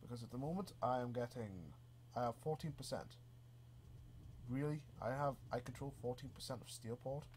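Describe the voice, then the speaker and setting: A man, stuffy from a cold, casually commenting on his own gameplay with relaxed, easily amused remarks and mild swearing. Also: medium pitch, moderate pace, slight background noise. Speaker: gaming channel host. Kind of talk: casual let's play commentary.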